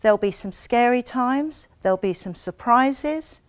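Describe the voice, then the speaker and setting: A middle-aged woman judge welcoming and briefing contestants in a brisk, encouraging way. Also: on the high side, lively intonation, clear, resonant tone. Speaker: competition judge. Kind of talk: addressing contestants.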